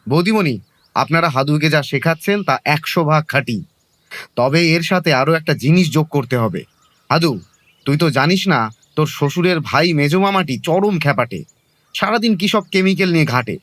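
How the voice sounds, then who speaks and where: A man's voice: on the high side, fairly bright tone, dry recording. A man playing a pompous, educated young friend with a Bengali accent, lecturing with advice in a comedy audio drama.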